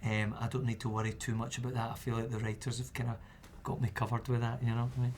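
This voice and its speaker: Young guy chatting about acting, loose and rambly, mid pitch. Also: quick pace, soft background music. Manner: chatty, relaxed, many fillers. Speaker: actor guest. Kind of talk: casual roundtable chat.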